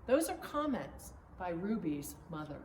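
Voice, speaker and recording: A woman reads a children's storybook aloud as narrator, phrase by phrase in a deliberate way. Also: fairly low pitch, big pitch swings, darkish tone. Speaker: pastor reading a storybook. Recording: echoey room, distant mic, faint noise.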